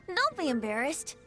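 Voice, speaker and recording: A woman's voice: high voice, heavily acted. Woman as a playful, theatrical boy bard. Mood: teasing. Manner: teasing, flowery, self-satisfied. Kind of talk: video game voice acting.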